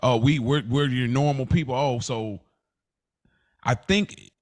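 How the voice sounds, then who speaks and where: Male podcast co-host, medium-pitched voice. Conversational sceptic who pushes back and restates his case. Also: measured pace, dry recording, clean studio sound. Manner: questioning, challenging, rephrases his points. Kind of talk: casual conversational debate.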